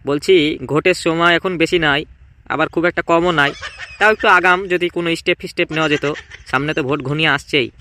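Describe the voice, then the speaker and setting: A man's voice: a little high, fairly even intonation, fast, bright, forceful tone. A man voicing a preoccupied, self-important village council chief in a comedy cartoon, blunt and musing.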